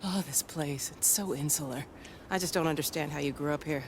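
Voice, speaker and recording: A frustrated American newcomer wife in a game drama. She speaks conversationally and wryly in a slightly low voice. Clear background ambience sits behind her. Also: a little fast. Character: outsider American wife, wry, frustrated newcomer to English village. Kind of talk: naturalistic dramatic game voice acting. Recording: noisy ambience behind the dialogue.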